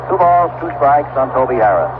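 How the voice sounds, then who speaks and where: A man's voice: medium pitch, sing-song, fairly quick. An American man calling baseball play-by-play live on a vintage radio broadcast. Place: outdoor ballpark broadcast. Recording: vintage radio broadcast, tinny narrow band, loud noise.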